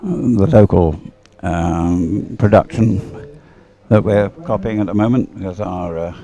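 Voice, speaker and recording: A man giving live sports commentary on boat racing, speaking in a hesitant, unhurried, explanatory way. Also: low voice, creaky, warm tone. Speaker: race commentator.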